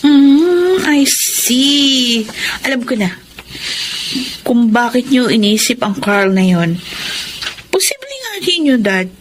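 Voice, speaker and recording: An actress playing a caring, inquisitive young grown daughter in a Filipino radio drama. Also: female voice, fairly high pitch, big pitch swings, slow pace, long pauses, a little hiss or hum. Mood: thoughtful.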